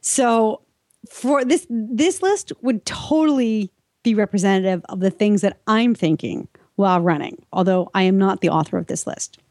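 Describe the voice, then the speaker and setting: A woman's voice: mid-range voice, moderate pace, darkish tone, no echo, clean studio sound. A woman co-hosting a show, speaking in a wry, informal, comedic way. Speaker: podcast co-host. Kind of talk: chatty introduction and comedic list reading.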